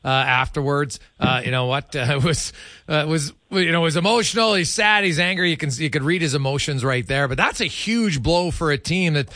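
A man's voice: on the high side, a little fast, sharp tone, dry recording, clean studio sound. A man hosting a sports radio interview in a casual, chatty way, often repeating phrases as he thinks aloud. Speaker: sports radio show host.

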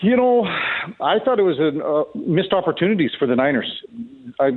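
A man's voice: a little high, very animated, fairly quick. A man giving casual sports analysis over a phone line, conversational, often repeating and rephrasing his points. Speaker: sports commentator. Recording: tinny, phone-like narrow band.

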